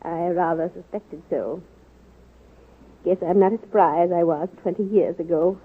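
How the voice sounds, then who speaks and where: Radio drama part: husky-voiced American woman of sixty, frail yet stubborn, wry and argumentative, thin old broadcast sound. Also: fairly low pitch, measured pace. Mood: weary, wry. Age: older. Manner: wry, insistent, crisply argumentative. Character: frail but feisty sixty-year-old woman nursing reformer. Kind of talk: 1940s radio drama acting. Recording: muffled old radio recording, phone-like band.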